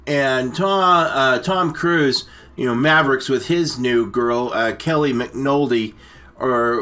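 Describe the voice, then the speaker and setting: A man's voice: medium pitch, pushed and bright. A man hosting a comic story time, retelling a movie in a rambling, stammering way with muddled names and asides. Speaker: story-time show host.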